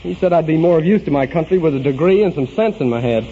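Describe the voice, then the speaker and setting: Headstrong young American male student, high, animated voice, earnest vintage radio drama. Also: fast talker. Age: young adult. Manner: earnest, insistent. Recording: muffled, noisy vintage radio recording.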